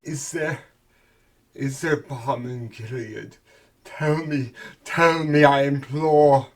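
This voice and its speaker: Guy doing gothic horror poetry super dramatically, slow and measured, recorded in a room. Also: medium pitch, fairly even intonation, slight background noise. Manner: melodramatic, intense, swinging from hushed to shouted. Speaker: performer reciting a poem. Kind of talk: theatrical dramatic recitation of gothic horror verse. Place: carpeted basement living room with low tiled ceiling.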